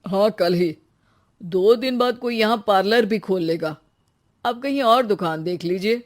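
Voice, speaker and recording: A woman's voice: medium pitch, measured pace, lightly acted. A woman voicing a blunt male shop owner in a cartoon story, dismissive and matter-of-fact.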